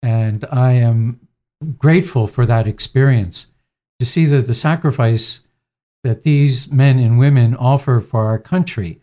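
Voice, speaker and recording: Gentle priest in his sixties, mellow tone, calm reflective answers. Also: mid-range voice. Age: 60s. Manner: gentle, unhurried, frequent fillers. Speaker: priest, interviewee.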